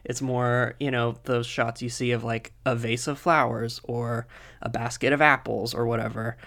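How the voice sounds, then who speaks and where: A man co-hosting a casual podcast, chatty with lots of fillers, soft and a little husky as he comes down with a cold. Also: medium pitch, level tone, normal speed, slight background noise. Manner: chatty, laughs often, many fillers. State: coming down with a cold. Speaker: podcast co-host.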